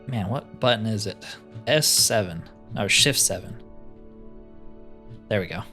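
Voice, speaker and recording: A man's voice: fairly low pitch, expressive, a little slow, sharp tone. A man giving casual gameplay commentary, thinking aloud with dry, joking asides. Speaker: gamer narrating a roleplay playthrough. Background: game music.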